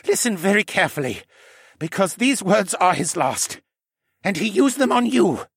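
A man's voice: high voice, sing-song, normal speed, creaky, husky, no echo, studio quality, voice pushed up, full character voice. A man voicing an older koala man in a fantasy audiobook, a bossy companion who speaks insistently. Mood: solemn. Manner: barking orders, insistent.

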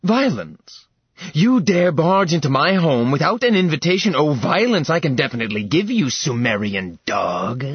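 A man's voice: fairly high pitch, very animated, husky, no room sound, muffled recording, pitched above the performer's own voice. A man voicing a mocking, bloodthirsty goddess. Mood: scornful. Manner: theatrical, taunting, drawn-out threats dropping to a whisper. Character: regal menacing goddess woman, bloodthirsty and mocking.

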